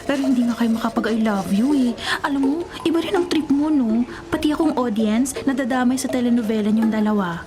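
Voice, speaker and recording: An actress with a Filipino accent playing an exasperated, cheeky office assistant who complains with wry exaggeration in a radio drama. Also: female voice, a little high, brisk, natural voice. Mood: cheeky, complaining. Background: music.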